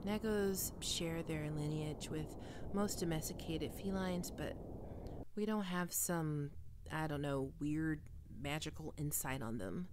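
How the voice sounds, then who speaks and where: A woman's voice: low voice, takes it slowly, background noise. A woman playing a defensive young half-vampire catgirl roommate in an ASMR roleplay, teasing and stammering with hesitant tsundere bluster. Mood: snarky, defensive.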